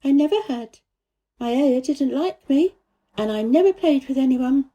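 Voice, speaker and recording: Storybook child role: curious, bossy young girl, high voice, direct questions adult female reader. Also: expressive, a little slow, warm tone, highs cut off. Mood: plain, forlorn. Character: sour, imperious, curious little girl.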